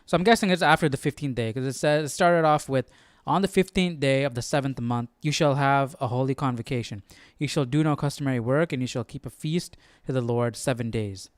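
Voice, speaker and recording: A man's voice: medium pitch, fairly quick, hardly any pauses, dry recording, clean studio sound. A man hosting a Bible study livestream, thinking aloud in an informal, musing way and often repeating himself. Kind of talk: casual chat, thinking aloud.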